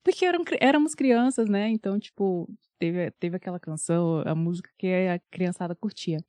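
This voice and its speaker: A woman's voice: on the low side, a little fast, no room sound. Bahian woman singer, 30s, cheerful podcast guest, dry sound. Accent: Bahian Portuguese. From Bahia, Brazil. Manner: laughs often, animated storytelling. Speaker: guest singer and vocal coach. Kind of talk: casual interview chat.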